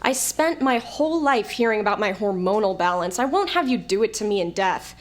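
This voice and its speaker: A woman's voice: mid-range voice, animated, fairly quick, lightly acted. A woman acting an irritable, sardonic character with a youthful form in an audio drama, talking in an exasperated way. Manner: exasperated, sarcastic.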